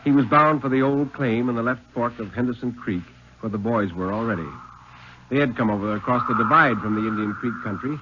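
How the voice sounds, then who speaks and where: An American man giving a solemn, deliberate dramatic reading of a story in the style of 1950s radio. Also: medium pitch, slight background noise. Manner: solemn, deliberate, expressive phrasing. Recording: muffled 1950s radio recording.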